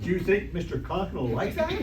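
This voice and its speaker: A man playing a good-natured, slow-witted high school boy in an old-time radio comedy, folksy and earnest. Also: huge pitch swings. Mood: earnest, worried. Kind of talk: 1940s-style radio comedy acting on stage. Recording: distant, echoey stage recording with noise.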